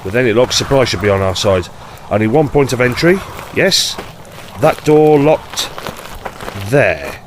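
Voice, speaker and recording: An actor playing a blunt, harried 1960s police detective in an audio drama, with an English accent and an exasperated, colloquial manner. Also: male voice, medium pitch, expressive, measured pace, pauses often, slight background noise, close to an everyday voice. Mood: brisk, exasperated.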